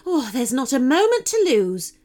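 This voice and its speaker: A motherly lady diplodocus, as a woman storyteller plays her. She is genteel and full of exclamation, with a high, swooping storybook voice, keen to help. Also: sing-song, moderate pace, warm tone, faint music behind. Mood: shocked, helpful. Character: motherly female diplodocus, shocked, willing, commanding helper.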